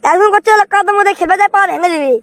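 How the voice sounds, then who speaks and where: A woman's voice: very high-pitched, racing, no room sound. A woman voicing a stubborn, grumbling village youngster in a comic cartoon, with a rural northern Bangladeshi accent. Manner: grumbling, argumentative.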